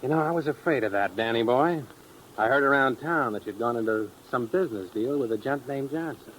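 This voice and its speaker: An actor playing a sardonic, menacing blackmailer in a 1950s radio crime drama, with a taunting, slangy manner. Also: male voice, mid-range voice, fairly quick, fairly bright tone, close to an everyday voice, poor audio. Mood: taunting, threatening. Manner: taunting, hard-boiled, slangy. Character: menacing, sardonic male blackmailer, cool tough crook. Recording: old radio recording, faint noise.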